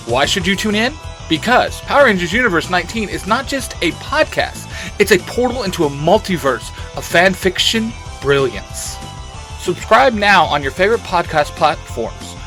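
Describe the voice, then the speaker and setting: A man giving a grandiose, hyped scripted promo as a podcast host. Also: fairly high pitch.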